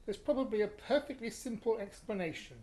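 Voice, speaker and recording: A man's voice: high voice, a little fast, dark tone, natural voice. A man with a British accent playing a terse, dry-humoured middle-aged father in a naturalistic radio play. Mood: brisk, reasoning.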